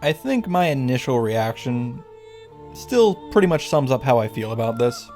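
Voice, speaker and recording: A man's voice: mid-range voice, big pitch swings, moderate pace, a little mellow. A man delivers a casual recap commentary with a mid-range voice. He is wry and jokey, slipping sarcastic, sometimes sweary asides into his scripted summary. Music plays behind him. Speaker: video creator recapping and reacting to a game. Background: background music.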